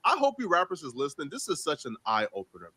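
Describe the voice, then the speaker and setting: A man's voice: fairly high pitch, huge pitch swings, quick pace, sharp tone, slightly rough. A man giving casual reaction commentary on a court case.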